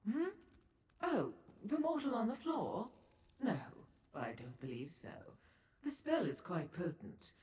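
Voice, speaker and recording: A woman's voice: fairly high pitch, wildly swooping pitch, takes it slowly, a little mellow, heavily acted. A woman voicing a seductive, worldly female Daedra merchant in a video game, with a coy, theatrical, condescending manner and ornate formal phrasing. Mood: coy, teasing. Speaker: information broker and proprietor. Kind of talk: video game character dialogue. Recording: echoey hall-like reverb on the voice.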